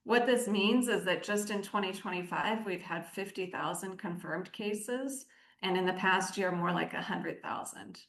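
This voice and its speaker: A woman giving measured, articulate explanations as an aid director interviewed on the radio. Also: medium pitch, vocal fry. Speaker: aid organisation country director, interview guest. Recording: roomy, echoing sound.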